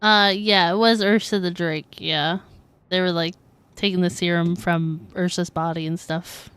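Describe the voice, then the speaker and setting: A woman game streamer giving casual live reaction commentary in an expressive, chatty, informal way. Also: fairly low pitch, a little slow, clean tone.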